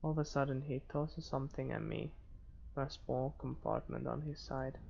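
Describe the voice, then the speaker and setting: A man hosting a gaming video, reading visual novel narration aloud in a halting way with stumbles. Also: mid-range voice, flat delivery, creaky, darkish tone. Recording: dull lo-fi microphone, faint noise.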